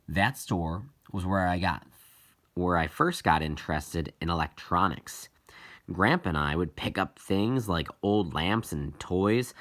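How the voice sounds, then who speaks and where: A man reading a children's novel aloud as scripted narration, with deliberate, halting phrasing. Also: low-pitched, a bit bright. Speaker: audiobook reader.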